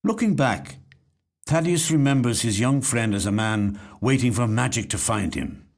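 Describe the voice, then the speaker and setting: A man reading a literary short story in a measured, reflective way. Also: medium pitch, expressive, creaky. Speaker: actor reading short stories. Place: recording studio.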